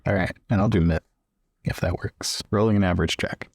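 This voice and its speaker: A man's voice: low voice, steady tone, brisk, dry recording. A man improvising casual in-character dialogue as a player in a tabletop roleplaying game.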